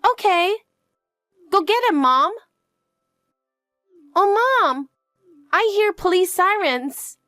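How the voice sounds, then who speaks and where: Woman playing a young girl, high voice, pleading questions, lively, dry close sound. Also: slow pace, long pauses, dry recording, faint music behind, heavily acted. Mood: hopeful. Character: young girl, anxious and clingy.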